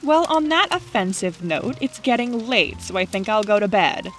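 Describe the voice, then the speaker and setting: A skeptical young woman with a dry, sardonic tongue played by a woman in an audio drama. She mocks readily and sounds like a born prankster. Her mid-pitched voice sits over light music. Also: big pitch swings, moderate pace, natural voice. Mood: dry, mocking.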